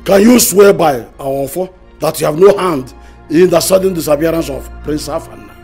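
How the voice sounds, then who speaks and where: An actor playing a stern palace elder who forcefully demands answers. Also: male voice, fairly high pitch, moderate pace, gravelly, soft background music.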